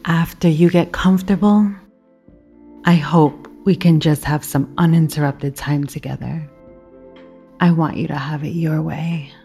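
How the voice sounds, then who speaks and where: An actress playing a seductive, clingy lover in an audio drama, speaking in a sultry, coaxing, husky voice. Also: female voice, low-pitched, fairly even intonation, unhurried, warm tone, faint music behind. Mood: loving, coaxing.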